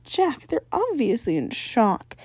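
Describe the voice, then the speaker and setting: A woman's voice: a little high, wildly swooping pitch, slow, breathy edge, no room sound, exaggerated voice. A woman voicing a concerned, demanding middle-aged mother who hunts ghosts, questioning insistently in a story reading.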